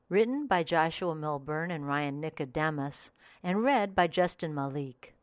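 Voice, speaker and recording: A woman's voice: low-pitched, dry recording. A woman reading a scripted review as a podcast host.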